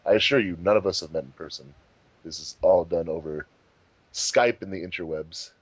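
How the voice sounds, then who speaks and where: A man's voice: low-pitched, normal speed, husky, no echo, poor audio. A man hosting a fandub commentary track, chatting casually about how the episode was made. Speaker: fandub producer and commentary host.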